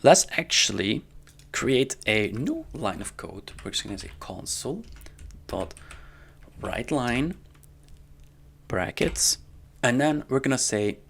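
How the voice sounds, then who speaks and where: A man giving a casual, step-by-step coding tutorial in an informal way, often checking in with the listener, with a gravelly voice. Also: medium pitch, sing-song, takes it slowly, pauses often, fairly bright tone, a little hiss or hum. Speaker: programming tutorial instructor. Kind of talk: casual screen-recorded coding lesson. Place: indoors at a computer.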